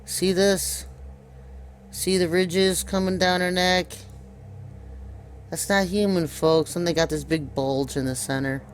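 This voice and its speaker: A man giving unscripted video commentary, deliberately pointing things out to his viewers. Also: sits high, fairly even intonation, a little hiss or hum.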